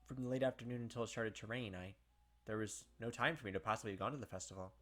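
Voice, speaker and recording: A man hosts a casual game playthrough, switching between reading the story and chatty asides full of filler words. His voice is bright and forward. Soft music plays underneath. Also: on the low side, rapid-fire. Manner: chatty, filler-heavy with frequent "like". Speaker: let's play host. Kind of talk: casual game commentary and read-aloud.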